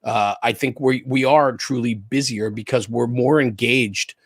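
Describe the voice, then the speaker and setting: A man's voice: medium pitch, steady tone, measured pace, vocal fry, husky, dry recording, studio quality. A middle-aged man with an American accent, giving his views as an interview guest in a casual, emphatic way, repeating points and checking in as he goes. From New Jersey, United States. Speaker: interview guest, fire service spokesperson and former chief. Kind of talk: casual but opinionated interview talk.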